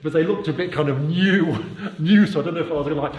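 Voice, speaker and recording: A man's voice: on the high side, fast talker, darkish tone, lo-fi sound. A man from the UK with a British accent, chatting casually while giving a handheld-camera tour of his indoor office studio, rambling with lots of fillers and restarts. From United Kingdom. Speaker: vlogger. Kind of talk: casual walking-tour vlog. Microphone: handheld camera mic. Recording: echoey room.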